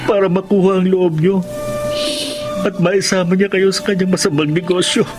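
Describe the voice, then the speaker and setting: A man's voice: sits high, level tone, normal speed, natural voice. A man playing a devoted, sentimental young adult son in a radio drama. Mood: reassuring. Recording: music behind the voice.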